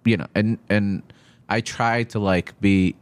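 A man in his thirties who grew up in Iraq, a stand-up comedian guest talking in a halting, blunt, profane way at a studio desk mic. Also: lowish voice, steady tone, no echo. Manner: halting, many restarts and fillers, blunt and profane. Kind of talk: casual podcast chat. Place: small studio with textured walls. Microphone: desk mic on stand, headphones.

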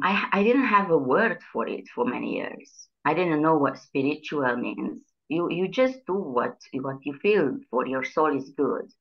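A middle-aged woman with a Hungarian accent and a low, deep voice, talking as a guest over a video call. She speaks hesitantly, restarting sentences as she searches for words. The call audio sounds dull and lo-fi. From Transylvania, Romania. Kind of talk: casual video call interview. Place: bright room indoors.

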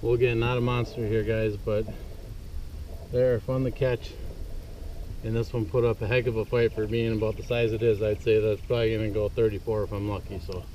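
A man's voice: lowish voice, fairly quick. A young man talking casually to viewers from a small fishing boat on a lake, recorded by a boat-mounted camera a few feet away. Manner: relaxed, chatty, addresses viewers as guys. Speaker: fishing vlogger. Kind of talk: casual outdoor vlog talk. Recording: dull, slightly distant boat-camera audio. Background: faint outdoor boat and water noise.

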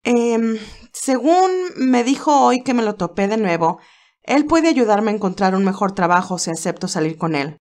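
A woman doing a bright, fretful young nurse. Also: mid-range voice, moderate pace, lightly acted. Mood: worried. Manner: lively, hesitant, girlish.

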